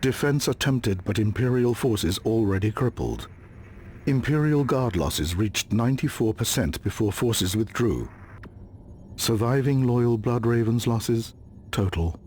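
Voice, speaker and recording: An actor voicing a male archive reporter in a video game, reading out a war report in a flat, clipped way. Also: medium pitch, unhurried, a little hiss or hum, close to an everyday voice. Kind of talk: video game cutscene narration.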